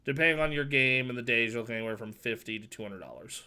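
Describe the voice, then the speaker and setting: Nasal, bassy man chatting about games. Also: fast talker, pushed and bright, smooth. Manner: loose, joking, swears freely. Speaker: podcast co-host. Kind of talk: casual gaming chat. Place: home streaming setup.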